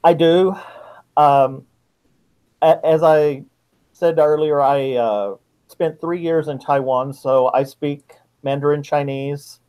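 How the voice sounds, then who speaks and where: A middle-aged man speaks as an interviewed author, taking his time. His voice sits a little high for a man, and his delivery is measured and reflective. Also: steady tone, mellow, clear, resonant tone. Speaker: guest author. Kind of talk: interview answers about writing.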